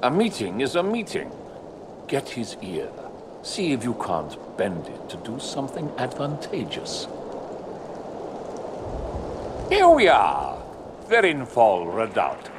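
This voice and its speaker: A man's voice: medium pitch, huge pitch swings, unhurried, noisy background. An actor playing a pompous, fussy gossiping nobleman in a video game, with a haughty, ingratiating theatrical delivery. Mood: conspiratorial. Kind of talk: dramatic video game dialogue.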